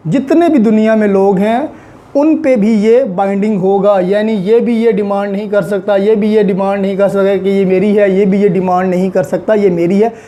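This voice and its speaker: Slow-speaking Pakistani woman lecturer, mellow voice. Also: mid-range voice. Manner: didactic, repeats key points. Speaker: law lecturer. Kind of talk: explanatory lecture with examples, switching between two languages.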